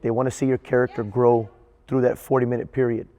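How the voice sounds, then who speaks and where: Even-toned man, halting, in echoey room. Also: mid-range voice. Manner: halting, repeats words while thinking. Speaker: theater director and teacher. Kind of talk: interview reflection.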